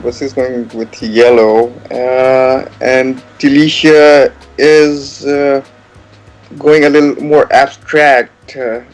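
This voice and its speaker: A man comments casually and excitedly on painters at work, often saying "like". His voice is in a middle range. Soft music plays under him. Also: mid-range voice, low-quality recording. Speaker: co-host and former contestant. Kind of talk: live online commentary.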